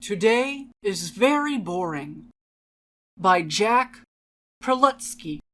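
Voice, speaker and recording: A woman's voice: mid-range voice, expressive, takes it slowly, a bit bright. A woman hosting a dramatic reading show, introducing it in a casual, chatty style.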